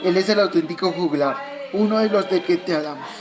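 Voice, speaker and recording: Fatherly medieval mentor acted by a man, high male voice, explanatory scripted delivery, music clearly behind. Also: a little fast, lightly acted. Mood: instructive. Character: fatherly medieval man patiently teaching a youth. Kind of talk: amateur educational radio play.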